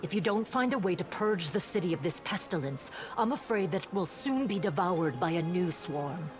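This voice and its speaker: Woman performing female paladin officer, lowish voice, formal and measured, earnest, fantasy game dialogue, clear music behind. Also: animated, close to an everyday voice. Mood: grave, reproachful. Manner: formal, measured military report. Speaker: game character reporting to a commander.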